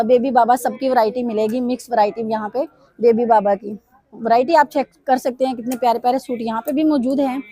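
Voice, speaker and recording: A woman vlogger, hoarse from a sore throat, walking through market stalls and pitching bargains to her viewers. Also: mellow, dry recording. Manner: repeatedly addresses viewers, upbeat sales pitch. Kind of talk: casual walkthrough commentary. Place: busy weekly market stalls.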